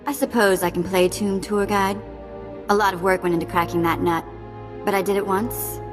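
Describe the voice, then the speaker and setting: An actress playing a sassy, defiant alien slave treasure hunter in a video game, sarcastic and full of wisecracks. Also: female voice, mid-range voice, fairly even intonation, normal speed, over music, lightly acted. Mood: wry. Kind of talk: scripted video game drama acting.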